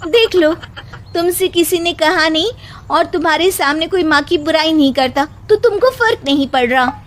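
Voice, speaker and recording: A woman's voice: very high-pitched, moderate pace, slight background noise, voice pushed up. A woman voicing a spirited, cheeky young housewife in a comic story, with an emphatic delivery. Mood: complaining. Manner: emphatic, recites mocking rhymes.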